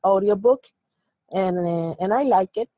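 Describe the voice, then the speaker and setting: A Spanish-speaking woman from Puerto Rico introduces herself softly in English with a Puerto Rican accent. She dials in over a tinny phone line. The sound is dry and close. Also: medium pitch, a little slow, no echo. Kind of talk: casual group video call.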